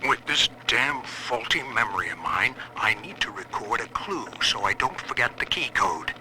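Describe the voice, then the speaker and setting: A man's voice: fairly low pitch, expressive, normal speed, background noise, close to an everyday voice. A man playing a forgetful man dictating a reminder in a video game audio log. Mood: muttering, deliberate.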